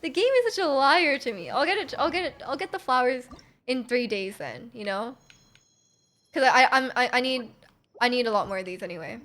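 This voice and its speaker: A woman live streaming a game, chatting casually with an excitable manner and often repeating her phrases. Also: on the high side, brisk. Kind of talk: casual live stream chat while playing. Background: game music.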